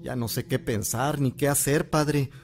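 An actor playing a humble, confused, grieving husband from a village in an audio drama. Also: male voice, medium pitch, fairly even intonation, measured pace, faint music behind, natural voice. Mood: troubled. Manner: humble, deferential, troubled.

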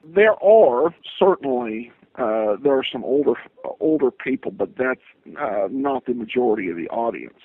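A man answering interview questions as a podcast guest, speaking in a halting, hesitant, stop-start way over a lo-fi line. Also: medium pitch, animated, no echo. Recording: tinny lo-fi phone-like line.

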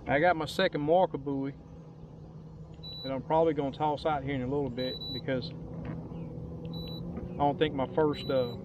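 Man, somewhat high voice, folksy unhurried rambling, casual fishing vlog, light outdoor noise. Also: steady tone. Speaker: angler hosting a fishing vlog. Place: open boat on a lake, outdoors.

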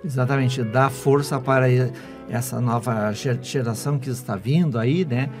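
A man's voice: mid-range voice, normal speed. A man, hosting a conversational radio interview. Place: small foam-padded radio studio. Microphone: studio microphone. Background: clear music.

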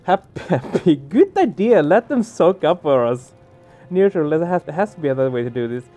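Higher-pitched man doing game commentary. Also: sing-song, moderate pace, warm tone, highs cut off. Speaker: let's play streamer. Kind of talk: casual gaming commentary, reading game text aloud. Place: small room indoors. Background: game music.

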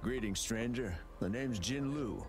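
A man's voice: medium pitch, measured pace, music in the background, lightly acted. An actor voicing an earnest, grieving male guard in a video game. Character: somber loyal male camp guard.

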